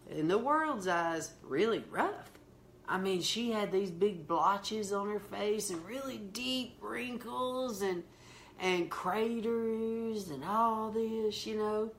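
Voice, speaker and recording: A middle-aged woman minister with a Southern accent, telling heartfelt stories slowly in a preaching manner during a conversation. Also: medium pitch, a bit bright, slight background noise. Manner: slow, heartfelt preaching delivery. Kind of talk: testimony-style storytelling in conversation.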